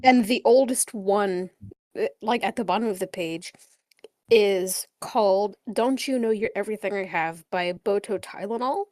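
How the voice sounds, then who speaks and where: A woman co-hosting a casual podcast chat, adding quick, playful quips. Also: mid-range voice, darkish tone, dry recording. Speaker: podcast co-host.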